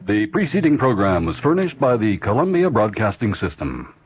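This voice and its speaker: Animated low American announcer on noisy old radio. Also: male voice, moderate pace. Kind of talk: scripted station and sponsor announcements. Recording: muffled, noisy 1970s AM radio recording.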